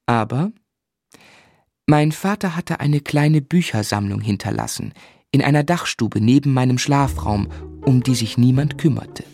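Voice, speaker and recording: An actor playing a reflective grown man who narrates his unhappy childhood in a German radio play, measured and grave. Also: medium pitch, animated, darkish tone, no room sound, faint music behind.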